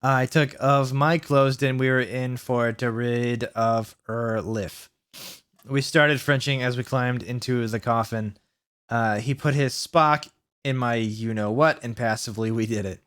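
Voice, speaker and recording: A man's voice: medium pitch, steady tone, measured pace, a bit bright, clear, resonant tone. A man hosting a comedic dramatic read-aloud, stumbling over words and breaking into exasperated asides, sounding mentally worn out. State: mentally worn out from long reading. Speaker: YouTube host reading fan fiction aloud.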